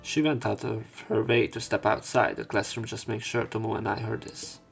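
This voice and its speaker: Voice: medium pitch, fairly quick, vocal fry, breathy edge, soft background music. A streamer reading visual novel text aloud with casual commentary, restarting misread lines and swearing now and then.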